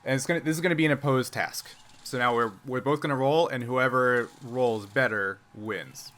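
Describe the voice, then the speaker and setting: A man with a bright, crisp-edged voice of middling pitch runs a tabletop role-playing game. He explains rules and narrates as game master, stopping to check that he is understood. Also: moderate pace.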